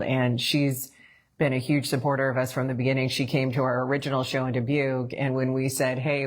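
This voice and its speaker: Midwest lady in her 40s, really low voice, chatty morning show cohost, laughs a lot. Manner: chatty, laughs easily, promotes events. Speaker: co-host. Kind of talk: casual morning show chat.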